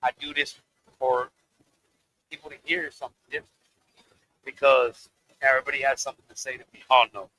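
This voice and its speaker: A man with a deep voice, a rapper guest talking loosely in a casual interview, laughing and swearing freely. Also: steady tone, fairly quick, pauses often, sharp tone, dry recording, poor audio.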